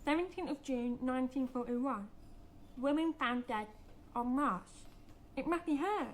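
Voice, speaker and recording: Radio drama role: young deaf woman, high voice, soft consonants, earnest and pleading, faint ambience behind. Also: unhurried, slight background noise. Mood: urgent, focused. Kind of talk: naturalistic radio drama acting.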